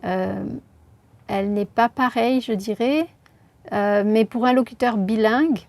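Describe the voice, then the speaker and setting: Gravelly woman professor. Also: a little high, darkish tone, no echo. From southern France, Marseille region. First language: French. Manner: thoughtful, measured, with hesitations. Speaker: linguistics professor and researcher. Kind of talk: explanatory interview answers.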